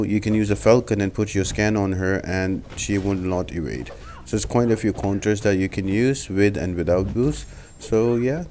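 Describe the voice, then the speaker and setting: A man's voice: on the low side, mellow. A man giving casual tutorial commentary over gameplay, as a video game guide creator.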